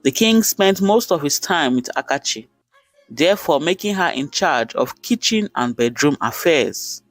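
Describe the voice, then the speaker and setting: A man's voice: fairly high pitch, expressive, unhurried, fairly bright tone, no echo, soft background music. A man with a Nigerian accent narrating a folktale in short, dramatic sentences. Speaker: storyteller.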